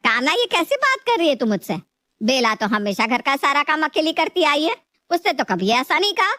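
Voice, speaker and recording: High female voice, woman acting a middle-aged mother-in-law, scolding, reproachful, exaggerated cartoon moral-tale delivery, dry recording. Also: very animated, moderate pace, bright, forceful tone, no echo, exaggerated voice. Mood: indignant. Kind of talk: Hindi animated moral story acting.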